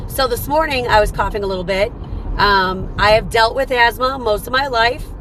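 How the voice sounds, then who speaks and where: Hoarse woman giving an earnest pep talk. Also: fairly high pitch, normal speed, fairly bright tone, a little hiss or hum, rough recording. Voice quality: hoarse, rough voice. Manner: repetitive, earnest, coaching tone with many rhetorical "right?". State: tired, hoarse. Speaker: live-stream host. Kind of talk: motivational live-stream talk.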